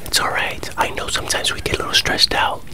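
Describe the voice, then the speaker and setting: A man in his thirties whispering close to the microphone in a soothing, apologetic, coaxing way during an ASMR puppet skit. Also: fast talker.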